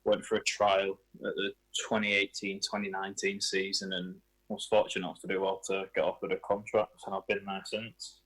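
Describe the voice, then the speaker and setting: A man's voice: deep voice, monotone, a little fast, a bit bright, slightly rough, low-quality recording. A man in his twenties, a footballer, answering questions in a casual radio interview. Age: 20s.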